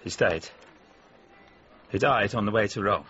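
An actor playing a shaken, terse art dealer bearing grim news in a radio drama. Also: male voice, lowish voice, measured pace, bright, forceful tone, breathy edge, muffled recording, faint music behind, exaggerated voice. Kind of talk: naturalistic radio drama acting.

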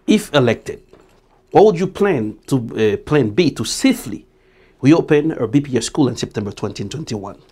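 Male TV host, middle-aged, animated intonation, formal interviewing. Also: medium pitch, normal speed, breathy edge. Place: indoor TV studio at a desk.

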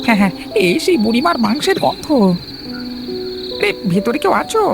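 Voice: high voice, sing-song, brisk, gravelly, full character voice. An actor playing a suspicious, insistent and gossipy villager in a horror cartoon. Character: suspicious villager snooping on a haunted eatery. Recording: music behind the voice.

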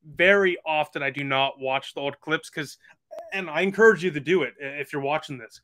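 A man's voice: fairly high pitch, brisk, pushed and bright. A man of about twenty, chatting earnestly as a podcast guest over a video call with lots of fillers. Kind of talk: casual video call chat.

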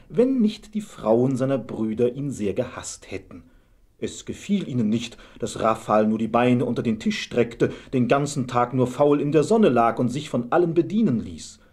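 A man's voice: medium pitch, darkish tone, slightly rough. A man narrating a scripted fairy tale as the storyteller.

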